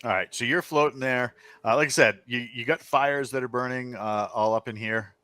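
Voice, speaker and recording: A man with a bright, forceful voice at an average pitch runs a tabletop role-playing game. He describes scenes off the cuff, pausing to find his words and throwing in vivid detail. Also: no echo. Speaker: game master. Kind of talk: improvised tabletop game narration.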